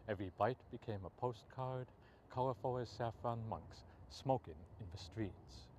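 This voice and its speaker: A man reading poetry aloud as a poet, in measured, deliberate short phrases. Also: medium pitch, darkish tone, a little hiss or hum. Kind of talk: poetry reading, recited free verse.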